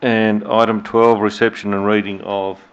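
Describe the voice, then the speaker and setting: A man with an Australian accent chairing a council meeting as mayor, measured and hesitant with many fillers, recorded on dull lo-fi room audio. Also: fairly low pitch, level tone, clear, resonant tone. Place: council chamber.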